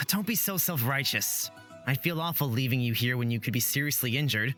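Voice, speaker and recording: A man's voice: mid-range voice, moderate pace, sharp tone, soft background music, natural voice. A man playing an earnest, apologetic and stubbornly caring young hero in a fantasy visual novel. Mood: concerned.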